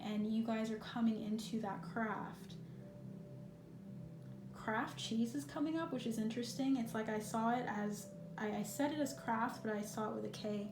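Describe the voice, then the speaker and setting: Gentle tarot reader woman, echoey room. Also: fairly high pitch, background noise. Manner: gentle, reassuring, intuitive musing. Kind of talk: pick-a-card tarot reading.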